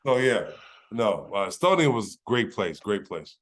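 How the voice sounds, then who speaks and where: A man from California hosting a casual interview, relaxed and chatty, with lots of "yeah, yeah" and "you know". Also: fairly low pitch, unhurried. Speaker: interviewer, host. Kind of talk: casual chat.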